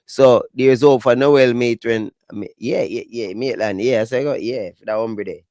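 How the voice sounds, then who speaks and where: A man with a Jamaican accent giving casual, emphatic commentary on dancehall news in lively patois. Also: mid-range voice, normal speed, a little hiss or hum. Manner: emphatic, animated patois talk.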